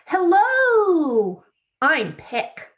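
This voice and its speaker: A woman's voice: very high voice, huge pitch swings, drawn-out words, voice pushed up, heavily acted. A woman voicing a friendly, welcoming little storybook character with a sing-song delivery.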